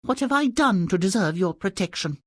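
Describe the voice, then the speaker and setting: A man voicing a timid, desolate maiden in a fairy-tale video game, breathy and plaintive. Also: high-pitched, expressive, brisk, no room sound.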